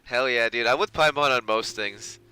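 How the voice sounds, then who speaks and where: A man's voice: medium pitch, moderate pace, bright, forceful tone, smooth, poor audio. A man giving casual live gameplay commentary as a streamer, slangy and given to swearing and mimicry. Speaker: game streamer.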